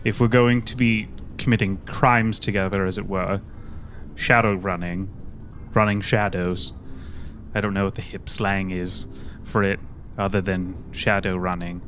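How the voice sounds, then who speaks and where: A man's voice: sits low, flat delivery, measured pace, fairly bright tone, a little hiss or hum. A man playing an earnest, polite shadowrunner in a tabletop game, speaking in a formal, slightly hesitant and fussy way. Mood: probing, earnest. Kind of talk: improvised tabletop roleplay.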